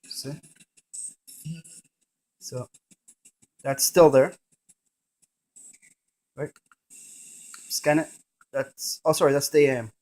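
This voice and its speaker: A man's voice: medium pitch, steady tone, very slow, pauses often, a little mellow, low-quality recording. A man presenting a casual hands-on gadget demonstration, narrating each step in short fragments.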